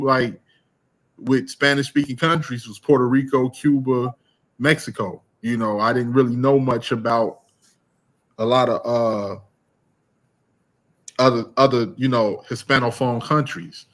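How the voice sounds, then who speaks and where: A man's voice: mid-range voice, fairly even intonation, unhurried, a bit bright. A man, talking casually as a podcast host, rambling with fillers and restarts. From American Midwest. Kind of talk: casual conversation.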